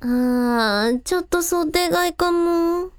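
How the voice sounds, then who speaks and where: A woman's voice: extremely high pitch, takes it slowly, no room sound, clean studio sound. A woman playing a plucky, practical young village idol who talks casually in a radio drama. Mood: thoughtful. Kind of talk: Japanese radio drama acting.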